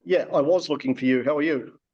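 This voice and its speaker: A man's voice: fairly high pitch, a little fast. A man interviewing a guest in a casual video call chat, with a wry, joking, conversational manner. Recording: dull lo-fi video call audio.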